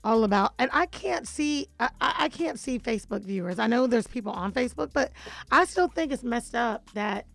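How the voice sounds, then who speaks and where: Raspy, hoarse-sounding woman radio host. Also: moderate pace, no room sound, soft background music. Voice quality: deep raspy voice. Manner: animated, chatty, gives listener shout-outs. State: a little hoarse. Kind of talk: casual radio chat.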